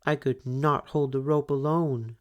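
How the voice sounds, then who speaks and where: A man's voice: mid-range voice, fairly even intonation, measured pace, clean tone, voice pushed up, natural voice. A man voicing a clever, sweetly cunning young girl, the eldest sister, in a coaxing sing-song way. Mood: feigned innocence.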